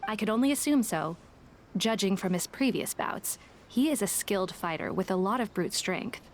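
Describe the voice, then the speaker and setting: A woman playing a calm, shrewd young woman in a video game, speaking in a measured, matter-of-fact, dry way. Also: mid-range voice, slight background noise, close to an everyday voice. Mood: composed. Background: game music.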